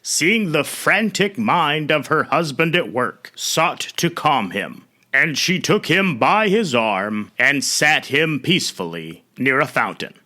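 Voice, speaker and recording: A man narrating a myth as a storyteller, reading scripted prose in a measured, dramatic way. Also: a little high, sing-song, bright, forceful tone. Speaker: storyteller, host.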